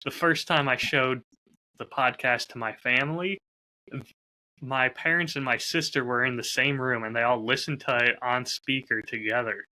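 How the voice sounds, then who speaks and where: Man with a very bright, forceful voice. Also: mid-range voice, steady tone, normal speed, low-quality recording. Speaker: podcast host and audio drama creator. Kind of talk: casual chat.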